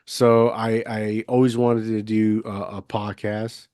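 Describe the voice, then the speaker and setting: Rambling man from Puerto Rico, low voice. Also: level tone, takes it slowly, a little mellow, no room sound, studio quality. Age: 30s. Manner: rambling, lots of fillers and restarts. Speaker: podcast host. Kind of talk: casual conversational interview. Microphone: budget USB mic, video call.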